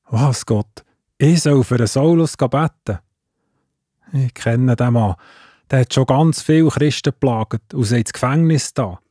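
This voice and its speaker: A man's voice: mid-range voice, warm tone, breathy edge, no echo, studio quality. A man playing the reluctant, timid Hananias in a children's audio drama, speaking with a Swiss German accent. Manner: grumbling, hesitant.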